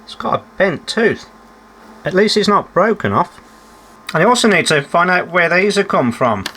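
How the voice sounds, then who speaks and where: A man's voice: high-pitched, big pitch swings, normal speed, sharp tone, a little hiss or hum. A man giving unscripted running commentary as he works on a repair, musing aloud and putting questions to himself with dry, understated humour. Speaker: electronics repairer presenting a repair video.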